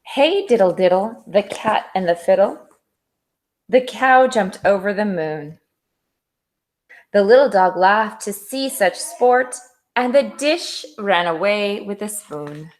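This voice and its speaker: Slow, expressive woman reading a storybook. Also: medium pitch. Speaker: teacher reading aloud. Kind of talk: expressive children's storybook read-aloud.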